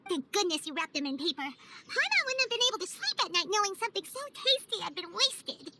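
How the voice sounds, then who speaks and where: A woman voicing a small, childlike flying fairy companion in a video game, excitable and dramatic, speaking of herself in the third person. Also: very high-pitched, a little fast, heavy background noise, full character voice. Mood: delighted. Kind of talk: video game cutscene voice acting. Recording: music behind the voice.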